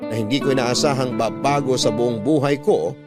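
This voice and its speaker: A man reading a first-person letter as a radio storyteller, with sentimental, measured phrasing. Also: mid-range voice, steady tone. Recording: music under the voice.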